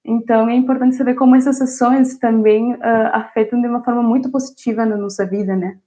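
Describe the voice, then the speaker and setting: A woman's voice: on the high side, steady tone, fairly quick, dark tone. A woman from Venezuela, a native Spanish speaker, telling her story in an interview in a reflective way with many fillers and restarts. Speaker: interview guest, university student. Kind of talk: casual interview answer. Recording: dull lo-fi audio.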